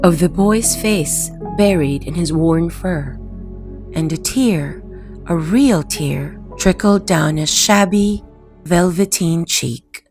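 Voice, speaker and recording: A woman narrating a scripted children's bedtime story in a gentle, measured, soothing manner. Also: lowish voice. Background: music.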